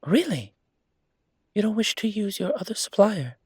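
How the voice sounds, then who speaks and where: Man playing a courteous, higher-voiced coffee shop owner. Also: sing-song, moderate pace, darkish tone, husky, dry recording, studio quality, full character voice. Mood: delighted. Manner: courteous, formal, effusive. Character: Trevelyan, polite, eager male coffee shop owner. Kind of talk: roleplay audio drama acting.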